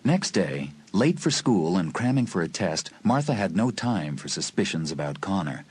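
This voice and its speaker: A man's voice: deep voice, very animated, moderate pace, darkish tone. A man reading scripted narration for a dramatised suspense audiobook. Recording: music under the voice.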